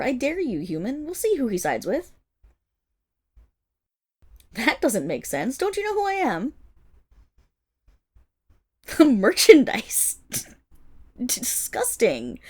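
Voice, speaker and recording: A woman's voice: high-pitched, wildly swooping pitch, slow, long pauses, darkish tone, heavily acted. A woman playing a haughty, indignant young wolf monstergirl of noble birth in a dramatic ASMR roleplay, speaking disdainfully straight to the listener. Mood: indignant, mocking.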